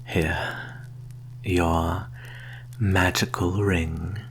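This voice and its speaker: A predatory male vampire, acted out by a man, speaks in a deep, breathy half-whisper for an ASMR roleplay. He takes his time, with slow, deliberate and formal phrasing that is coaxing and quietly menacing. A noticeable hum sits behind the voice. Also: little pitch movement, full character voice. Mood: sated, pleased. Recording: clear background hum and noise.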